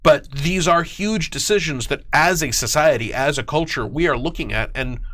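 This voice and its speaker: A man's voice: on the high side, normal speed, a bit bright. A man giving an explanatory livestream talk, emphatic, often posing questions and checking in with "right?". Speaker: guest game designer explaining lore.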